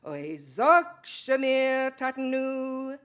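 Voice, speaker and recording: High, loud, drawn-out singing Yiddish ancestor by a woman. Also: very animated, smooth, exaggerated voice. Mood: chanting. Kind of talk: Yiddish folk song in stage reading.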